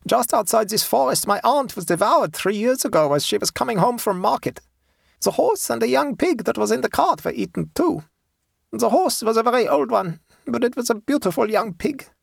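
A narrator voicing a stolid, shrewd peasant woman in a storybook, calm, devout and matter-of-fact. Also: mid-range voice, gravelly, husky, dry recording, studio quality, voice pushed up, exaggerated voice. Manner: tranquil, unhurried, matter-of-fact.

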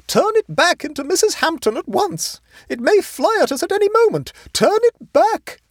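Storybook society aunt: very high, shrill, theatrical pleading, wildly swooping. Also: male voice, wildly swooping pitch, moderate pace, a little mellow, dry recording, studio quality, full character voice. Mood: shrill panic. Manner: dramatic, beseeching.

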